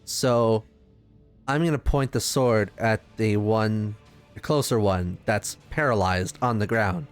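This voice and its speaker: A man's voice: lowish voice, slow pace, smooth, soft background music. A man playing a tabletop game, chatting casually in a playful, jokey way and swearing freely. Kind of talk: casual excited game chat.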